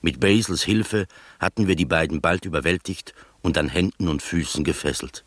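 A composed gentleman narrator tells his own adventure in a radio play. His voice is deep and recorded very dry. The delivery is scripted, literary storytelling. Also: moderate pace, no room sound. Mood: measured, recounting.

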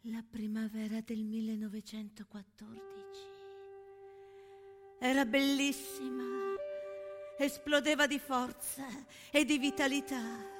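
A woman narrating a stage piece in a solemn, deliberate, often hushed dramatic way, with music behind her. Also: a little high, fairly even intonation, big-room sound. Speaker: actress and narrator.

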